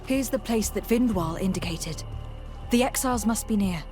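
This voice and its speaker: A woman playing a calm, diplomatic young noblewoman envoy in a video game, speaking in a measured, formal and firm way. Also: mid-range voice, over music, close to an everyday voice. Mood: calm, reassuring. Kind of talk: video game dialogue acting.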